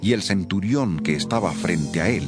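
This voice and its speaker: A man narrating scripture from a script in a solemn, expressive manner. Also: deep voice, moderate pace, over music.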